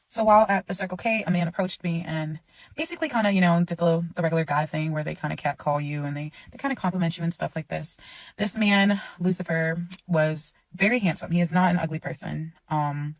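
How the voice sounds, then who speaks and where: A woman's voice: on the low side, a little fast, no echo, far from the mic. A woman in her twenties telling a personal story in a casual, chatty way, with digressions and explanations. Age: 20s.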